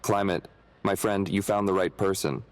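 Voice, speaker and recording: Low-voiced eager party guest played by a man. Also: steady tone, moderate pace, natural voice. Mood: eager, friendly.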